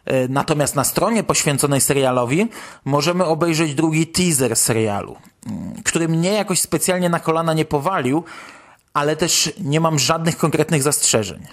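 A man's voice: fairly high pitch, normal speed. A man hosting a podcast, presenting a news roundup in speech. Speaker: podcast host.

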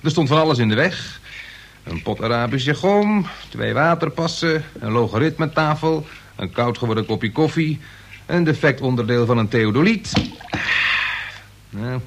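A man's voice: mid-range voice, animated, moderate pace, close to an everyday voice. A man acting as a cheeky, anxious clerk and grown son in a radio drama. His mid-range voice has a wry, bureaucratic turn of phrase. Faint noise runs under the old recording. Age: adult. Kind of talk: Dutch radio play acting.

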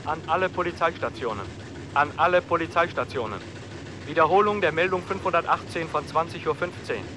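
An actor playing a stern police dispatcher in an old radio crime play, reading a bulletin in a clipped, official, commanding manner. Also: male voice, fairly high pitch, brisk, background noise, close to an everyday voice. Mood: urgent, official.

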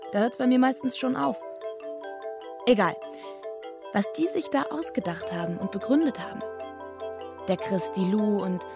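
An actress playing an egoistic young drifter in a radio drama, musing in halting, colloquial speech. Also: female voice, mid-range voice, natural voice. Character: free-spirited young woman, awed egoistic drifter. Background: music.

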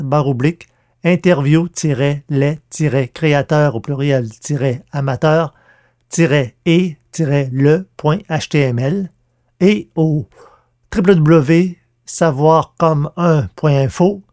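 Higher-pitched man reading podcast announcements. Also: a little mellow. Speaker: podcast host and audio drama producer.

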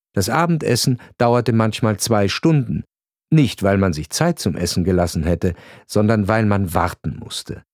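A man reading a scripted radio narration in a grave, measured storytelling manner. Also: lowish voice, a little mellow, no room sound, studio quality.

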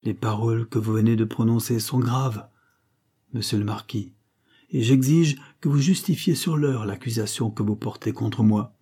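A man's voice: mid-range voice, normal speed, mellow, slightly rough, close to an everyday voice. A man voicing a proud, indebted nobleman who defends himself against accusations. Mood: defensive.

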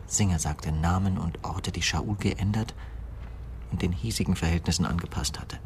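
An actor playing an obsessed, bitter idealist confessing to murder in a radio play, with an intense, fervent delivery. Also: male voice, deep voice, normal speed, slight background noise. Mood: hushed confession. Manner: intense, fervent, swinging from hushed to raised voice. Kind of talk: 1990s German radio play acting.

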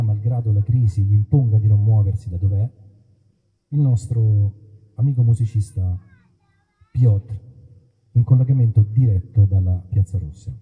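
A man hosting a live outdoor show in an olive grove, talking to the crowd with wry, mock-solemn stage patter. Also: fairly low pitch. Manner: wry, mock-solemn, playfully self-interrupting. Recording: husky voice over a tinny, phone-like line.

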